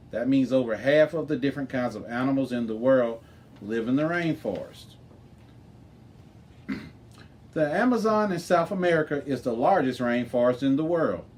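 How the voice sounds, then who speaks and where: A man's voice: medium pitch, a little mellow. A man reading a children's chapter book aloud as scripted narration. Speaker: storybook reader.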